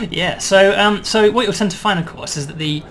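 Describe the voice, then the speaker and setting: A man demonstrating and explaining a game build in a relaxed way, checking in with "right?" as he goes. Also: sits high. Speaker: game developer demoing.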